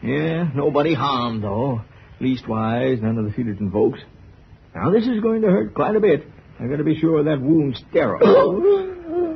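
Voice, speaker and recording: A man acting a kindly, wily small-town country doctor in an old-time radio drama, middle-aged, folksy, hesitant and gently wry. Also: fairly high pitch, big pitch swings, a little slow, creaky, breathy edge, full character voice. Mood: gentle, coaxing. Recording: dull, noisy old radio transcription.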